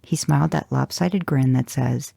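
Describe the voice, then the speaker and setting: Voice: mid-range voice, moderate pace, gravelly, warm tone, no echo, clean studio sound. A narrator reading first-person fan fiction in an audiobook style, with a wry, intimate delivery. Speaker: fan fiction podcast reader.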